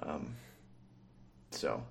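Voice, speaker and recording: A man's voice: very slow, slight background noise. A young man co-hosting a casual sports podcast, rambling with lots of fillers and easy laughs. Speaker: podcast co-host. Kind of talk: casual chat.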